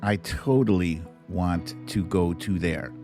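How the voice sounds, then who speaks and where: A man's voice: deep voice, takes it slowly, over music. A man hosting a casual livestream, chatting and reacting to clips in a playful, theatrical way. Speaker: host of a live online show.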